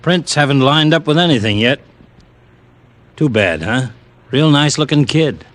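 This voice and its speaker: An actor playing a businesslike police lieutenant in old radio drama, matter-of-fact and clipped. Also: male voice, fairly high pitch, lively intonation, moderate pace, background noise, natural voice. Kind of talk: 1940s-50s radio drama acting.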